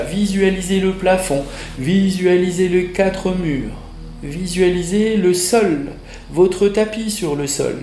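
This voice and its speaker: A man leading a guided yoga relaxation meditation as instructor, giving measured instructions in short phrases with pauses between them. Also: high voice, mellow. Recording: roomy echo, music behind the voice.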